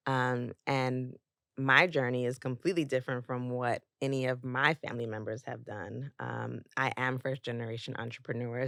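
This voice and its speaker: A woman's voice: very deep voice, no room sound, clean studio sound. A young adult woman as a podcast guest, talking casually with lots of fillers and easy laughter. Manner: warm storytelling with frequent fillers and laughs. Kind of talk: casual interview chat.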